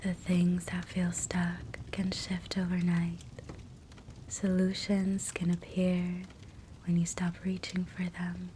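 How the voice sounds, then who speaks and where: A woman guiding a sleep hypnosis meditation in a soft, breathy whisper, gentle and soothing. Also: lowish voice, takes it slowly. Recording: soft steady fireplace crackle noise. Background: fireplace ambience.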